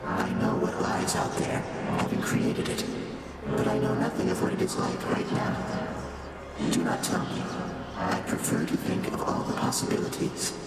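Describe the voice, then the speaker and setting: An actor in a video game playing an ancient, aloof primordial spirit with a breathy, slow and solemn delivery. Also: medium pitch, sing-song, lightly acted. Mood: solemn, detached. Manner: slow, solemn, cryptic, formal. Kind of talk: dramatic video game voice acting. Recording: very loud music over the voice.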